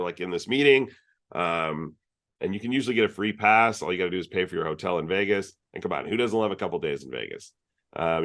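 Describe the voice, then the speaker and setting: Chatty low-voiced man hosting a meetup. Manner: chatty, rambling with many fillers. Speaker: user group host. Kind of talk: casual meeting announcements. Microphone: video call.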